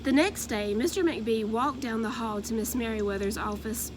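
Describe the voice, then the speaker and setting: Higher-voiced woman reading a storybook aloud. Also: moderate pace, noisy background. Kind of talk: storybook read-aloud narration.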